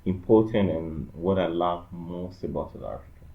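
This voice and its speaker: A man's voice: low voice, moderate pace, creaky. A young man from Congo chatting as a podcast co-host, rambling and filling his talk with "like" and "you know". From Democratic Republic of the Congo. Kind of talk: casual chat. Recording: echoey room, dull lo-fi sound.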